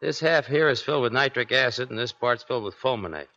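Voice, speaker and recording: Sharp, fast-talking sailor. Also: male voice, medium pitch. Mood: brisk. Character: cocky, clever, defiant navy seaman. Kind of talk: 1940s radio drama acting. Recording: dull 1940s radio broadcast recording.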